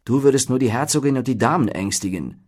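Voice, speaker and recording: A man's voice: medium pitch, expressive, fast, darkish tone, voice pushed up, lightly acted. A man voicing a dignified, unflappable carpenter who leads his troupe with firm, patient authority.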